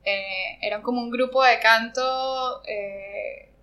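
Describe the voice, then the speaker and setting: A woman's voice: on the high side, steady tone, slow, lo-fi sound. A Venezuelan woman from Margarita Island answering interview questions in a reflective way, often searching for words. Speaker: interview guest. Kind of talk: conversational interview.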